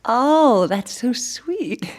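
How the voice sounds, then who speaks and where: An actress playing a gentle, devout wedding singer in an audio drama, earnest and considered in her speech. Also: on the high side, big pitch swings, drawn-out words, heavily acted. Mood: polite, curious. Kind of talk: naturalistic audio drama acting. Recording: reverberant hall-like church acoustic.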